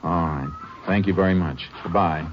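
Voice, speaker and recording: A man acting a calm, methodical Texas Ranger investigator in an old radio drama, measured and matter-of-fact, with an American accent. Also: sits low, slight background noise, natural voice. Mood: calm, questioning. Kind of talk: 1950s radio drama acting and first-person narration. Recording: muffled vintage radio recording, faint music.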